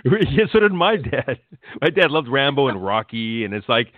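A man's voice: mid-range voice, sing-song, moderate pace. A man interviewing a guest in a casual, rambling way, restarting his questions often. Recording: tinny phone-like line.

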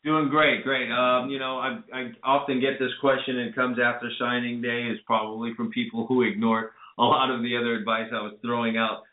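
A man's voice: medium pitch, little pitch movement, a little fast, few pauses. A man hosting a call-in radio show, earnestly advising listeners and then bringing on a guest. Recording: echoey, phone-like lo-fi audio.

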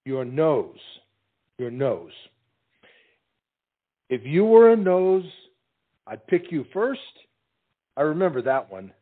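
A man's voice: on the high side, sing-song, slow, long pauses, heavily acted. A man playing an anxious, dim young soldier suitor who hesitates and stammers in a stage comedy. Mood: floundering, bashful. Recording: muffled, tinny narrow-band sound.

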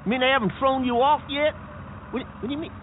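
An actor playing a flustered, excitable male garage boss who stammers and talks in broken grammar. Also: extremely high pitch, quick pace. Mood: frantic. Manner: frantic, stammering, ungrammatical. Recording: tinny phone-like audio with faint noise.

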